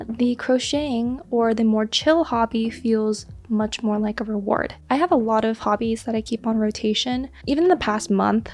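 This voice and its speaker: A woman in her twenties, a crochet creator chatting casually and earnestly as she answers viewer questions, sometimes dropping to a soft whisper. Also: a little high, moderate pace, hardly any pauses. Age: 20s. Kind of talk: casual Q&A chat.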